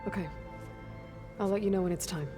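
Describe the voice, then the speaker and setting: An actress playing a young video game heroine, direct, practical and skeptical, with an understated, plain-spoken delivery. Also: female voice, mid-range voice, fairly even intonation, a little fast, over music, natural voice. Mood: businesslike. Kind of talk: video game character dialogue.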